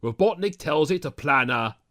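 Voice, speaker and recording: A man's voice: a little high, expressive, moderate pace, sharp tone. A man reading scripted narration, retelling a cartoon adventure episode as a storyteller. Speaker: narrator of an animated-series recap.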